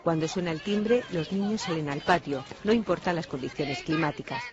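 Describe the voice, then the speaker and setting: A woman reporter reading a scripted television news narration in a measured, even delivery. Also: deep voice, dull audio, a crowd in the background.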